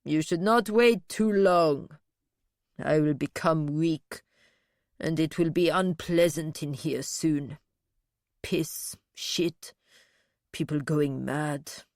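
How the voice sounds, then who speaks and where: Give me a woman doing a grim, slow-thinking man, deep and dry. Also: darkish tone, no room sound. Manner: slow, laborious, blunt. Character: slow-witted grim male captive soldier.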